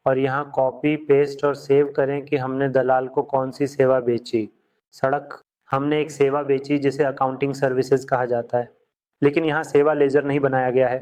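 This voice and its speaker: A man teaching accounting software step by step, repeating each key point as he goes. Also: mid-range voice. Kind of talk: screen-recorded software lesson.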